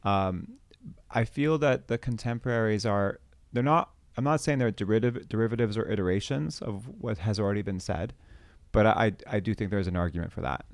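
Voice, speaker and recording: A man's voice: on the low side, a little fast, creaky, few pauses, dry recording, clean studio sound. A middle-aged man from Canada, a podcast guest talking reflectively with frequent restarts and hesitations. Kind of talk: conversational interview.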